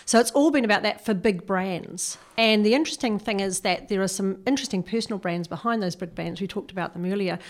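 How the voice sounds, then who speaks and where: This is a middle-aged woman from New Zealand, with the accent to match. Her voice sits at an average pitch for a woman. She talks conversationally, as an interview guest would. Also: fast, hardly any pauses. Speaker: guest, personal branding consultant.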